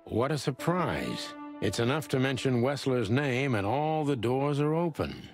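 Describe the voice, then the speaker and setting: An actor playing a polite, wry bird detective in a film-noir game, with a courteous way of talking. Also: male voice, mid-range voice, sing-song, unhurried, soft background music. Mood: composed.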